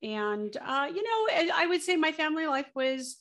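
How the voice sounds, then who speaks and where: A woman's voice: sits high, big pitch swings, moderate pace, fairly bright tone. A woman from Southern California, a guest in an interview, talking candidly and chattily with many fillers. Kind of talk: casual interview chat.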